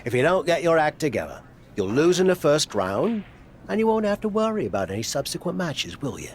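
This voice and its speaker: An actor playing a wry British veteran wrestler and mentor, coaxing and teasing with dry humour in a video game cutscene. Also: male voice, a little high, big pitch swings, fairly quick, a little hiss or hum. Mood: teasing, brisk. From United Kingdom.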